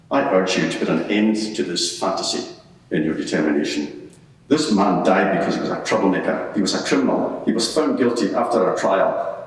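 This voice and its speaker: A man's voice: deep voice, natural voice. A man playing a scornful Roman procurator, arguing in formal, rhetorical court style in a large echoing room. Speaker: advocate in a mock court inquiry. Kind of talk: courtroom cross-examination and closing submission. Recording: very echoey hall, far from the mic.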